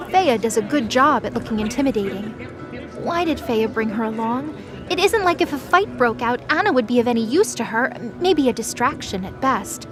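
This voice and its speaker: A woman reading scripted story narration for a fantasy audio drama. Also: fairly high pitch. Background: music.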